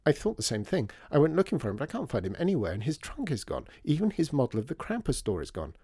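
A man's voice: a little high, wildly swooping pitch, quick pace, darkish tone, slightly rough, no room sound, clean studio sound, pitched above the performer's own voice, exaggerated voice. A man voicing an anxious, earnest male character in a worried, urgent manner.

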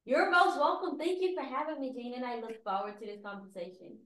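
A woman's voice: high-pitched, fairly even intonation, fairly quick, a bit bright, echoey room. A woman from Guyana, a guest telling her story in candid casual chat, full of "like" fillers. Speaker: guest entrepreneur.

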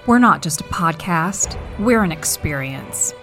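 A woman's voice: on the low side, animated, unhurried, over music. A woman reading scripted promotional narration as a podcast announcer, grandiose and mock-dramatic.

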